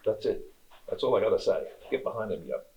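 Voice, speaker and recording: A man's voice: on the low side, sing-song, fairly quick, vocal fry, dull audio, background noise. A middle-aged Australian man being interviewed about his acting, speaking candidly and with emphasis. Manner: candid and emphatic, talks freely. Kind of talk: casual interview.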